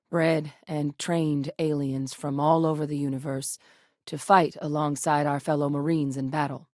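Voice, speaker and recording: An actress playing a serious, driven military scientist in a sci-fi video game, speaking in a measured, earnest, dryly wry manner. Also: female voice, deep voice, a little mellow, no room sound, studio quality. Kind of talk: acted sci-fi game dialogue.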